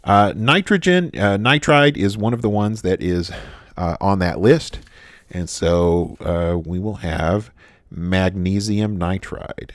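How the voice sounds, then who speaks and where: A man with a very low voice teaches a recorded chemistry lesson, stopping often with "uh" and restarting his sentences. The sound is dry and close, with no room echo. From United States. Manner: halting, frequent "uh" fillers and restarts. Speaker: chemistry instructor. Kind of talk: recorded lecture.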